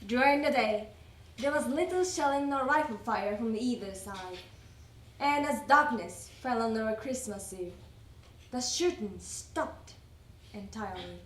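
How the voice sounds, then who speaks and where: A woman giving a theatrical, expressive reading of a wartime letter as part of a readers theater. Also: a little high, a little slow. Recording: roomy, distant mic, faint noise.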